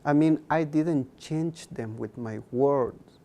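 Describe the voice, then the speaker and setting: A man's voice: on the high side, takes it slowly, warm tone. A young man, a Mayan speaker talking earnestly as an interview guest, repeating words for emphasis. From Guatemala. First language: K'iche' Mayan. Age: young adult.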